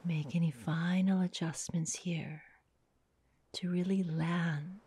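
A woman guides a meditation in a soft, breathy half-whisper, soothing and unhurried, leaving long pauses between short phrases. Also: on the low side, fairly even intonation, slight background noise.

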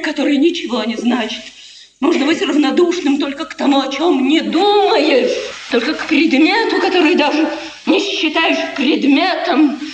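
An actress in a 1950s radio drama playing a proud, spurned countess, imperious and bitter, declaiming with dramatic pauses and biting irony. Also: female voice, sits high. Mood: bitter scorn. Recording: echoey, hissy 1950s radio recording.

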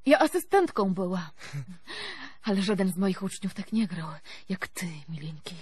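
An actress playing a theatrical, seductive and mystical woman musicologist with a Russian accent, gushing in breathy exclamations in a radio drama. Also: mid-range voice, big pitch swings, moderate pace, darkish tone. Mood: hushed, wistful admiration. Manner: effusive, breathy exclamations, broken foreign grammar.

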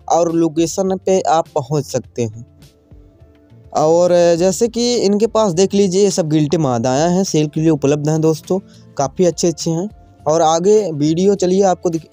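A man's voice: high-pitched, warm tone. A man narrating a casual farm vlog, informally addressing viewers as friends. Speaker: farm video channel host. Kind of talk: casual vlog narration, showing livestock for sale.

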